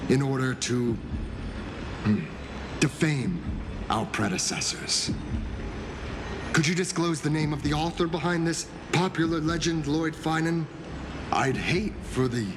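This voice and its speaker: An actor playing a smug, courteously menacing leader of masked assassins in a video game, drawling mock-politely with theatrical pauses. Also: male voice, mid-range voice, big-room sound, noisy background.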